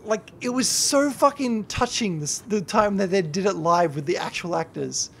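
A drunk young man rambling and swearing through a gameplay livestream, yelling at the game now and then. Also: high voice, moderate pace, vocal fry, slight background noise. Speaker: YouTuber and streamer.

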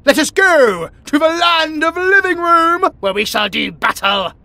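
A man's voice: very high-pitched, very animated, unhurried, creaky, pushed and bright, dry recording, exaggerated voice. A British man voicing a bratty, self-important tiger warrior in a comedic audio roleplay, haughty, demanding and full of theatrical exclamations. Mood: playful grandiose.

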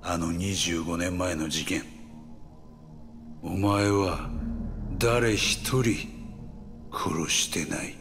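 Deep-voiced, smug gangster villain. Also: male voice, extremely slow, long pauses, exaggerated voice. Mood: mocking. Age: middle-aged. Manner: mocking, drawling, condescending. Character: smug, menacing middle-aged male gangster villain, scheming. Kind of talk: dramatic video game voice acting. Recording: dramatic music behind.

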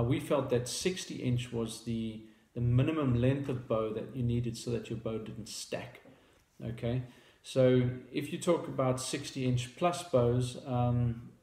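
South African man with a gravelly voice. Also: medium pitch, mellow, echoey room. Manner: casual, hesitant with many fillers and restarts. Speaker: bow company founder. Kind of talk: product explainer talk to camera. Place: small indoor room at a table.

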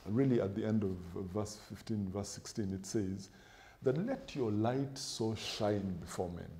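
A middle-aged man with a low voice and a mellow, dark tone. He talks like a pastor on a studio set, measured and emphatic, building points in lists and quoting scripture. The sound is close and clean from a lapel mic. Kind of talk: preaching-style interview answer.

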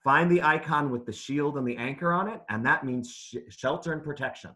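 A man's voice: fairly high pitch, a little fast, sharp tone. A man reading aloud to children as a teacher would, in simple phrases, putting questions to his listeners. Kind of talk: interactive children's read-aloud lesson.

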